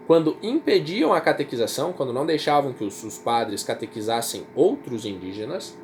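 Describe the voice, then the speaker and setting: A man's voice: mid-range voice, a little mellow, slight background noise. A Brazilian man teaching a history class in a casual, repetitive way, often checking in with his students. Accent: Brazilian Portuguese. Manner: casual, repeats himself, checks in with "certo?" and "tá?". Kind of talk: informal recorded class lecture.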